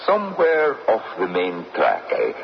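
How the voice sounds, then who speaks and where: An actor playing a courteous, measured middle-aged father who hosts dead guests in an old radio drama. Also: sits low, wildly swooping pitch, gravelly, heavily acted. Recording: dull, noisy old radio broadcast.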